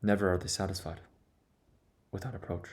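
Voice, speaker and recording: A man giving a personal, reflective monologue in an earnest, confessional manner, setting out his thoughts in short, deliberate phrases. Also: low voice, flat delivery. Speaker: vlogger.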